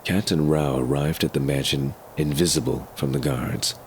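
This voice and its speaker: A man's voice: a bass voice, very animated, normal speed. A man reading scripted narration for an audio drama, telling the story in the third person. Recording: clear background noise under voice.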